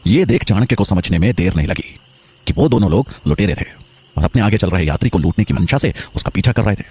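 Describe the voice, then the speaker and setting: A man's voice: fairly low pitch, animated, fast, a little mellow, husky. A man narrating a scripted historical story in a dramatic storytelling style.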